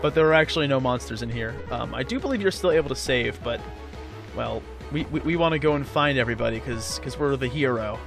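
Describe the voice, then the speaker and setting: A man's voice: mid-range voice, quick pace, creaky. A man giving casual, slangy, playfully sarcastic gameplay commentary. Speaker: let's play host playing the game. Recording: game music behind the voice. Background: video game music.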